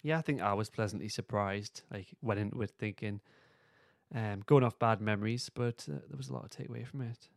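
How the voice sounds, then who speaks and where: Creaky-voiced man hosting a casual chat. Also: lowish voice, fairly quick, dry recording, studio quality. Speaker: podcast host.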